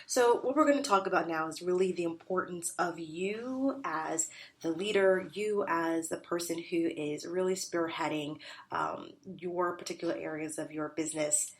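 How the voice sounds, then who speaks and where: Woman, nasal congested voice, business coach, emphatic and repetitive, lowish pitch. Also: moderate pace. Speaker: podcast host and business coach. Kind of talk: solo podcast teaching.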